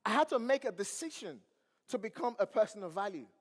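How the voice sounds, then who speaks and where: A man's voice: high-pitched, lively intonation, brisk. A man with a Nigerian accent, English not his first language, giving a motivational speech on stage and asking the crowd questions. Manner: preachy, asks the crowd questions. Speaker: motivational speaker. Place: large event hall. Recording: long hall reverb.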